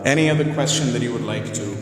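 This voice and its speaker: A man's voice: medium pitch, quick pace, crowd noise. Formal Pakistani-born male teacher, echoey room. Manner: formal, many fillers like "you know", elaborate vocabulary. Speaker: teacher and session host. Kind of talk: live video discussion.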